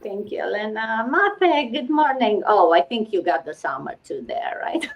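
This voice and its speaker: A woman's voice: medium pitch, very animated, moderate pace, creaky. A woman from Romania hosting a casual online panel, friendly and chatty. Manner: friendly, chatty, laughs lightly. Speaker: host. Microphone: video call. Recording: dull lo-fi sound.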